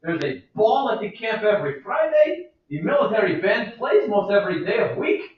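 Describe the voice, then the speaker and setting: A man's voice: sits high, very animated, normal speed. A man playing a fussy old servant who coaxes and scolds his mistress. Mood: coaxing. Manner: coaxing, scolding, keeps saying ma'am. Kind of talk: amateur play reading. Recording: echoey room, far from mic, dull.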